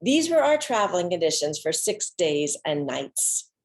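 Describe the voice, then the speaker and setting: A woman giving a dramatic reading of an autobiographical monologue, with theatrical, deliberate phrasing and pauses. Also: deep voice, big pitch swings. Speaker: solo stage performer.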